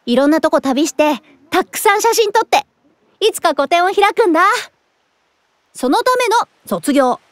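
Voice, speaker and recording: An actress playing a friendly young woman teacher in an anime audio drama, with an expressive, airy way of talking. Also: extremely high pitch, normal speed, no echo. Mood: cheerful.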